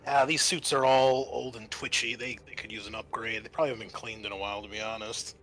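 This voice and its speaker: Bright-voiced man running a tabletop game. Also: fairly low pitch, flat delivery, fast talker, rough recording. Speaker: game master. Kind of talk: narrating a tabletop roleplaying game.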